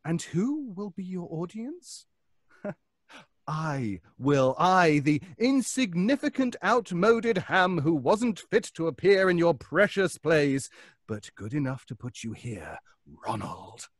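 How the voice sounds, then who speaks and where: Man playing unhinged failed actor, higher-pitched, very animated, taunting, mock-courteous, theatrical radio suspense villain, dry close sound. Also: slow, no room sound, exaggerated voice. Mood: gloating, menacing. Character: vengeful, mad failed actor, gloating over his trapped rival. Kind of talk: old-time radio suspense acting.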